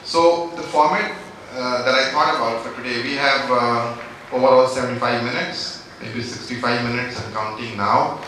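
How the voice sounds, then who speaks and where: A man from India moderates a panel from a distance, his bright, forceful voice ringing through a large echoing hall. He talks politely and hesitantly, with plenty of fillers, over a faint hiss. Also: mid-range voice, fairly even intonation, normal speed. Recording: echoey hall from far off.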